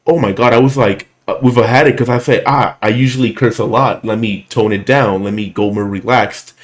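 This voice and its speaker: Deep-voiced man from Puerto Rico. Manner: chatty, slightly halting English, playful. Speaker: show host, commentator. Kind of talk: casual interview.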